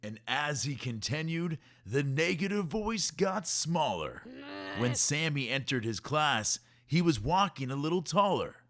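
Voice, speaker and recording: Expressive, unhurried man reading to kids. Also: on the high side. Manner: expressive, measured storytelling. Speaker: storyteller reading a children's book aloud. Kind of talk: rhyming scripted narration for kids.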